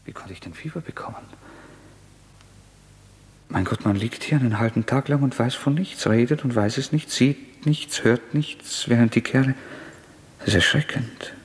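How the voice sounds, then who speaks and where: An actor playing a feverish, brooding, guilt-haunted young murderer in a German radio drama, halting and agitated, with a creaky voice. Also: male voice, medium pitch, slight background noise, lightly acted. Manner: halting, agitated, self-questioning. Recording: long hall-like reverb.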